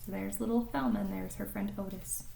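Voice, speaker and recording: A woman reading a picture book aloud to young children in an animated way, pausing to explain and ask questions like a teacher. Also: medium pitch, vocal fry, a little hiss or hum. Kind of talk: expressive storybook read-aloud with chatty explanations to children.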